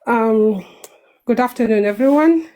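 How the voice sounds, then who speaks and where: A woman's voice: on the high side, measured pace, dark tone, slight background noise. A woman with a South Sudanese accent speaking earnestly and a bit hurriedly in an online group audio chat.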